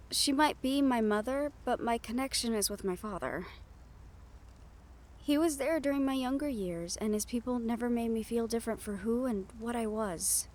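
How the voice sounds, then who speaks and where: Woman acting as wistful half-banshee siren, female, soft-spoken, confiding, reassuring, intimate audio roleplay, reflective pauses. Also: fairly high pitch, slight background noise, close to an everyday voice. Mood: wistful, tender.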